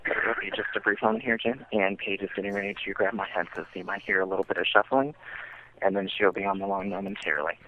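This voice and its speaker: Guy on a noisy phone line, low flat voice, customer service talk. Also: quick pace. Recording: muffled noisy telephone line.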